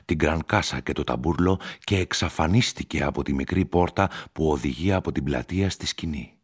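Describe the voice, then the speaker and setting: A man's voice: a bass voice, slightly rough. A man reading a literary story as narrator, in a dramatic, scripted storytelling style. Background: music.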